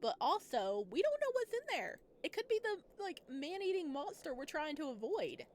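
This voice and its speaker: Animated higher-pitched woman reacting to a game. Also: normal speed, a little hiss or hum. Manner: animated, exclamatory, thinking aloud. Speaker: game streamer playing a visual novel. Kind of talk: casual reactive playthrough commentary.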